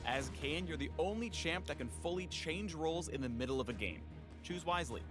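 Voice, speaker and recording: A man's voice: a little high, expressive, a little fast. A man delivering scripted explainer narration for a video game spotlight. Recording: clear music behind voice.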